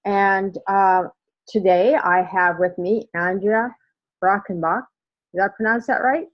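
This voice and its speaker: A woman's voice: medium pitch, fairly even intonation, unhurried, muffled recording. A woman hosting a podcast, chatting casually and asking rambling questions with small laughs. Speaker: podcast host.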